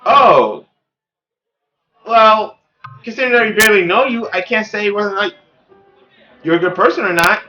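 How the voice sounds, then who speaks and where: Animated man playing a visual novel. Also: high-pitched, normal speed, pauses often, muffled recording, faint music behind. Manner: animated, chatty. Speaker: gaming video host. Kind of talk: playthrough commentary and read-aloud narration of a visual novel.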